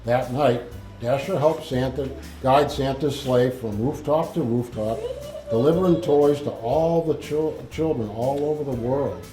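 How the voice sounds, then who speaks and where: A man reading a story aloud to children in a halting, informal manner. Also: medium pitch, warm tone. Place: indoor library room with audience. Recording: echoey room, faint music.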